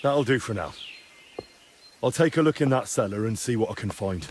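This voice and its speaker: Casting: actor as earnest, probing young medieval man, direct, animated intonation, natural game-drama delivery, some ambient noise. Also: on the low side, moderate pace, lightly acted. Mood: reassuring. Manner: direct, questioning, reassuring yet pressing. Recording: clear background ambient noise.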